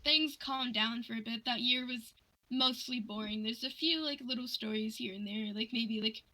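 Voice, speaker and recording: A woman telling personal stories in casual livestream chat, animated and acting out the dialogue she quotes. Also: fairly high pitch, normal speed, low-quality recording.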